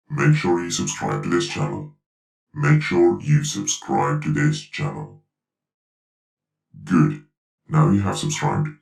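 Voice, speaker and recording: A man voicing an instructive robot through a pitch-lowered robotic effect, giving deliberate step-by-step tutorial commands. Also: vocal fry, a little mellow, far from the mic, exaggerated voice. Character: instructive robot speaking for a video channel. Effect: robotic pitch-lowered voice with flanger and delay.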